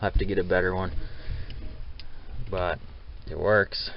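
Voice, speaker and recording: A man with a deep voice talks through a DIY job in a casual, plainspoken way, addressing viewers like buddies. The audio is dull and lo-fi, with faint noise behind him. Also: steady tone, unhurried, gravelly. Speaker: DIY truck restorer hosting his build videos. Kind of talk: casual hands-on how-to narration.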